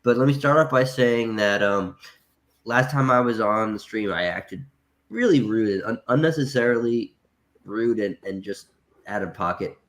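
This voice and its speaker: A man's voice: lowish voice, expressive, normal speed, fairly bright tone. A man with a nasal, congested voice, calling in to a livestream and talking casually, with many restarts and hesitations. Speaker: caller.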